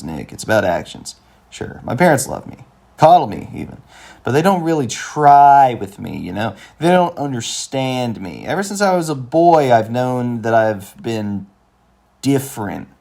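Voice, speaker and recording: A man's voice: medium pitch, very animated, normal speed, vocal fry, darkish tone. A man voicing a moody, nostalgic young man in a cartoon, speaking in an earnest, hesitant, melodramatic way. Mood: self-pitying. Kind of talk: animated comedy-drama dialogue.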